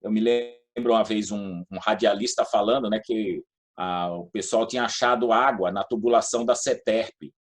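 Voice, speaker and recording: A man's voice: fairly low pitch, fairly even intonation, normal speed. A middle-aged or older man with a Brazilian accent from São Paulo state, talking casually and anecdotally as an interview guest. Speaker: guest, former public housing and utilities official.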